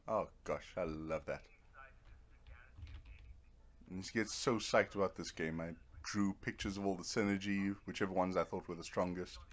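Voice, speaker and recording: Low-voiced man doing casual game commentary. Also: a bit bright. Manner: informal, rambling, thinking aloud. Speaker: gamer narrating own playthrough. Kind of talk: casual let's play commentary.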